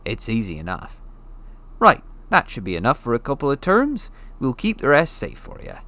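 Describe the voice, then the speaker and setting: A man voicing a big, bluff half-giant groundskeeper in a storybook reading, booming and hearty with a rustic way of talking. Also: huge pitch swings, normal speed, a bit bright.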